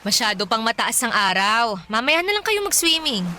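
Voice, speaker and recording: A woman's voice: fairly high pitch, big pitch swings, normal speed, slight background noise. A woman playing a caring widowed mother in a radio drama, talking in an affectionate, everyday way. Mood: cautioning. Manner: affectionate, gently cautioning everyday talk. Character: caring widowed Filipino mother of two young boys.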